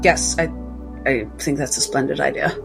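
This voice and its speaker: A man playing a sly, dry-witted doctor and spellcaster in tabletop roleplay, speaking in a halting, wordy, wry way. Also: medium pitch, steady tone, creaky, music in the background. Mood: tired, wry.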